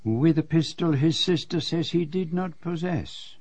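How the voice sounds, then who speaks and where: An actor playing a courteous, shrewd detective with a Belgian French accent, formal and precise, in a radio drama. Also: male voice, on the high side, measured pace, a little mellow. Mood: courteous, dry. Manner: formal, precise, politely sceptical. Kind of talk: radio drama acting with first-person narration.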